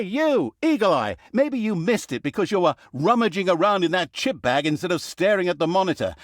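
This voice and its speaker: Casting: mocking, theatrical narrator for a fantasy game; lighter-pitched, hugely varied intonation, scripted storytelling with sarcastic bite. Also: male voice, no room sound, clean studio sound. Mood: exasperated scolding. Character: sardonic, theatrical male storyteller mocking heroes and scolding the player.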